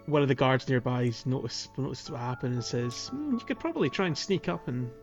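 A male podcast host with an average-pitched voice and faint music behind him. He talks casually through a game, conversational and jokey. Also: expressive, a little fast, highs cut off. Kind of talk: casual podcast playthrough recap of a video game.

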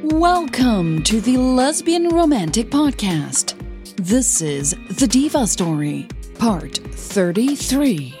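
Woman podcast host narrating a story, slow and mellow, expressive pitch. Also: faint music behind. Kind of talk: scripted announcements and story narration.

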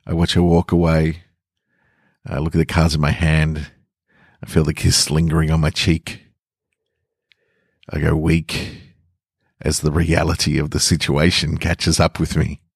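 A man's voice: extremely low pitch, monotone, a little slow, creaky, long pauses, husky, dry recording, clean studio sound, full character voice. A man reading a first-person story as a shy, lovestruck young man, awkward and awestruck. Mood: flustered.

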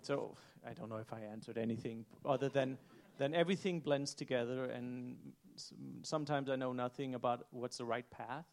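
A man speaking as a head chef on a panel on stage, earnest and halting, searching for his words. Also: mid-range voice. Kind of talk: reflective panel talk. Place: event stage before an audience.

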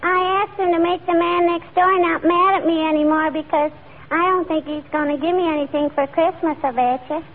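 A woman's voice: extremely high pitch, fairly even intonation, brisk, phone-quality sound. A woman playing a cheeky, giggly little girl in a 1940s-style radio comedy, with childish, bantering delivery. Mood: cheeky, innocent. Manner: childish, bantering, repeats a pet phrase. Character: cheeky, giggly little girl who pesters a grown man. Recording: scratchy, band-limited old radio with audience.

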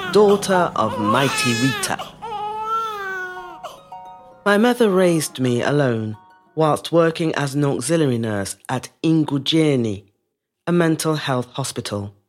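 A reflective middle-aged woman tells her family's story in a low voice. Her phrasing is measured and lyrical, shaped like a scripted audio-drama monologue. Soft music sits faintly behind her. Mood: proud, tender.

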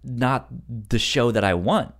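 A man of average pitch chats as a podcast host. His delivery is halting, with stuttered syllables as he works toward his point. The tone is informal and conversational. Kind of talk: casual chat about a cartoon series.

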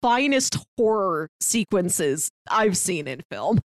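A woman's voice: medium pitch, big pitch swings, slow, dry recording. Woman chatting about a movie, lots of "like", dry close sound, mid voice. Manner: enthusiastic, lots of "like". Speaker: podcast co-host. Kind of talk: casual film discussion.

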